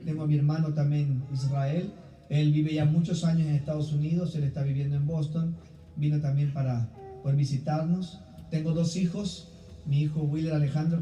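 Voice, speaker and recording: A man's voice: fairly high pitch, dark tone. A middle-aged man with an Ecuadorian Spanish accent speaking as a guest preacher to a church congregation. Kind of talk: sermon with personal introduction. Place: church hall, recorded from a distance. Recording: roomy, distant mic, faint background noise.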